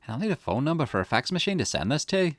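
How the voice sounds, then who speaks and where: A man's voice: a little high, sing-song, rapid-fire, no room sound. A man voicing a curious, puzzled investigator who thinks aloud in a visual novel, musing and questioning. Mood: curious, excited. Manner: musing, questioning, swooping intonation. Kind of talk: visual novel character reading. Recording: music behind the voice.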